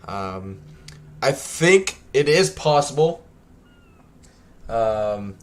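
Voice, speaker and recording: A young man with a scratchy, worn-out voice talks through his game live. He rambles casually, stopping and restarting often and filling gaps with ums. His pitch sits in an ordinary middle range. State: scratchy tired voice. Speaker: streamer. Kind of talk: casual gameplay livestream chat.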